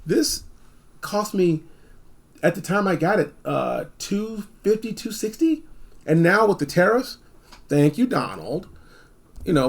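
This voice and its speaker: Man, casual reviewer, slightly high voice with a husky rasp, blunt and repetitive delivery. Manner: rambling, repeats phrases for emphasis. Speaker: product reviewer. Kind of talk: casual unscripted gadget review.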